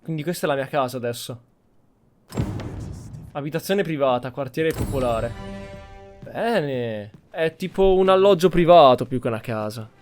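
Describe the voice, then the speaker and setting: A man's voice: fairly high pitch, animated, moderate pace, pauses often, darkish tone, soft background music. A man with an Italian accent giving roleplay-style commentary as he plays a video game. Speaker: gameplay host.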